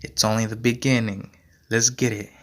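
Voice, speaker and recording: A young man hosting his own podcast, rambling in a slangy, repetitive way and going hoarse as he talks. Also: fairly low pitch, level tone, moderate pace. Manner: slangy, repeats himself, many fillers. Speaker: podcast host. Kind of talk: casual rambling monologue.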